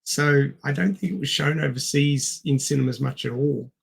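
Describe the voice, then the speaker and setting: A man's voice: mid-range voice, moderate pace, gravelly, a little hiss or hum. A man co-hosting a podcast, talking casually and matter-of-factly about films. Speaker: podcast co-host. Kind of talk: casual film discussion.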